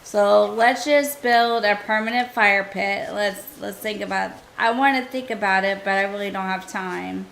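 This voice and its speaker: A woman streaming a survival game, chatting casually and thinking aloud to her viewers, her voice a little hoarse. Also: medium pitch, fairly even intonation, moderate pace, few pauses, fairly bright tone, slight background noise, low-quality recording. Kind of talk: casual gameplay commentary.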